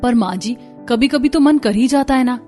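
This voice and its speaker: A woman's voice: fairly high pitch, normal speed, warm tone, soft background music, close to an everyday voice. A woman voicing a dutiful, earnest young daughter-in-law in a cartoon story, polite and deferential. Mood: questioning. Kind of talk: Hindi cartoon story voice acting.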